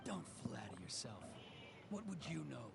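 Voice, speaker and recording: A man's voice: mid-range voice, big pitch swings, moderate pace, creaky, slightly rough, full character voice. A man voicing a stern, proud samurai officer, cold and dismissive, in an anime video game. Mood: scornful.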